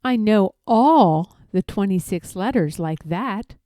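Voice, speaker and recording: A woman's voice: medium pitch, expressive, slow, warm tone, no echo, studio quality. A woman reading a rhyming picture book aloud expressively, drawing out key words for emphasis. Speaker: read-aloud storyteller.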